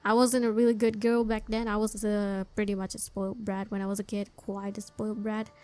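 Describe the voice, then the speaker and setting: A woman's voice: mid-range voice, little pitch movement, a little fast, a little mellow. A woman gamer giving casual, sympathetic playthrough commentary, talking to the characters on screen. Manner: talks to the game characters, sympathetic. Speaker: gaming streamer.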